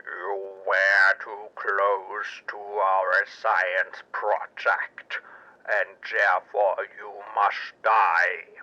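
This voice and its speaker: Man voicing a deep, crawling alien crab soldier. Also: level tone, pushed and bright, muffled recording, heavily acted. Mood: cold threat. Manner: slow, drawn-out, menacing delivery. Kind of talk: pulp sci-fi audio drama villain.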